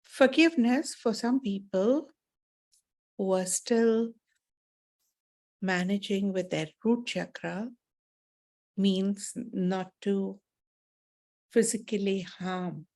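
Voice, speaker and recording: A woman speaks as a meditation teacher, guiding listeners through a spiritual talk. Her delivery is very slow and measured, with deliberate gaps between short phrases. The tone is relaxed and mellow at a medium pitch, giving a soothing manner.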